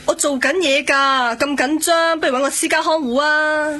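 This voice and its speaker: High-pitched, offhand hospital nurse. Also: female voice, steady tone, moderate pace, sharp tone. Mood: flustered, irritated. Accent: Hong Kong. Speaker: radio drama actor. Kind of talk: radio drama acting. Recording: radio drama with music behind.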